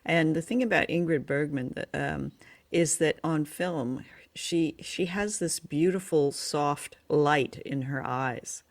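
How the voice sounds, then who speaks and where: A woman's voice: sits low, slow. Woman co-host chatting reflectively. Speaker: podcast co-host. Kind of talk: casual film and radio discussion.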